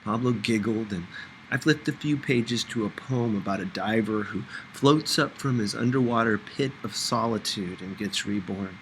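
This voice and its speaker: A man's voice: lowish voice, moderate pace, a bit bright, a little hiss or hum, rough recording. A man reading a first-person novel aloud as scripted audiobook narration. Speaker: audiobook reader.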